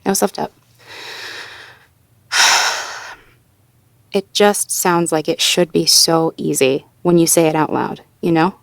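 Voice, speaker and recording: A woman's voice: sits low, slow pace, gravelly, long pauses, no room sound, heavily acted. A woman playing a sweet, self-doubting, playfully wry girlfriend in an intimate audio roleplay, talking casually and conversationally with a partner. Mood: self-doubting, downcast. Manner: conversational, casual, with sighs and soft chuckles. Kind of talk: intimate slice-of-life audio roleplay acting, one-sided conversation.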